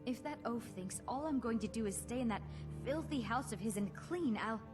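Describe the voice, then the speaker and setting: A woman's voice: on the high side, lively intonation, moderate pace, natural voice. An actress voicing a proud, scornful young village woman in a fantasy video game, with a haughty manner. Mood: scornful, indignant. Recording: game dialogue under clear music.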